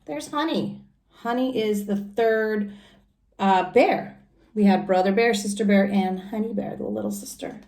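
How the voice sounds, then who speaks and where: A woman with a medium-pitched voice reads a picture book aloud in a teacherly way. She breaks off into chatty, simple explanations and questions for her young listeners. The room adds a clear echo. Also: measured pace, darkish tone. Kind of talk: expressive children's storybook read-aloud with chatty asides to young listeners.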